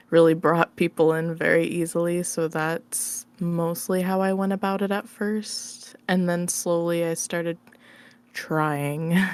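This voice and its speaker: A woman chatting informally as an interview guest, giggly and a bit hesitant in her phrasing. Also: fairly low pitch. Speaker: interview guest, ASMR roleplay creator. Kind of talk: casual interview chat.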